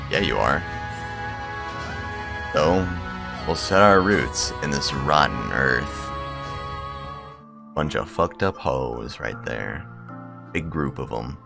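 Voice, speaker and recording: A man's voice: very deep voice, animated, takes it slowly, creaky, pauses often. A man hosting a gaming video, talking casually and reading visual novel text aloud. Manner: blunt, crude, sweary joking. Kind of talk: casual commentary and read-aloud narration of game text. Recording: music playing behind the voice.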